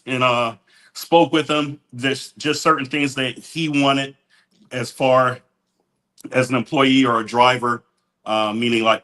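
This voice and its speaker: A man's voice: mid-range voice, fairly bright tone. A man co-hosting a podcast, talking through his week in a plain, matter-of-fact way. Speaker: podcast co-host, business owner. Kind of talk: casual chat.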